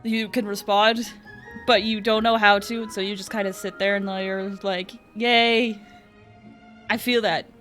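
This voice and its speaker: A woman streaming a game, reacting in a gushing, excitable way with many fillers. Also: mid-range voice, unhurried. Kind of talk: casual gameplay commentary. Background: game music.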